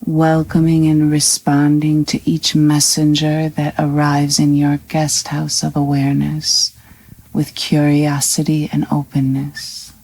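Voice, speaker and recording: A woman with a low voice guides a scripted meditation. She speaks very slowly and evenly, with little rise or fall. Her manner is calm and soothing, with long pauses between phrases. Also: poor audio.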